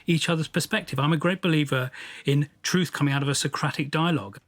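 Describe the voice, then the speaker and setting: A man speaking articulately and earnestly in a radio interview, setting out his views in measured phrases. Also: on the high side, no echo.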